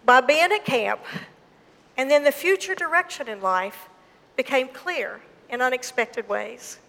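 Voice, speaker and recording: A woman pastor from Mississippi with a Southern accent, preaching a sermon and telling a personal story in a slow, emphatic way. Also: high voice, fairly bright tone. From Mississippi, USA. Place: church gym. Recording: long hall reverb.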